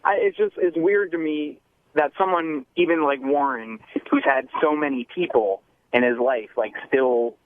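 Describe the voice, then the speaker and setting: A male caller on a phone line, chatting casually with a radio show in a hesitant, halting way. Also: fairly high pitch, no echo. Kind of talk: casual call-in chat.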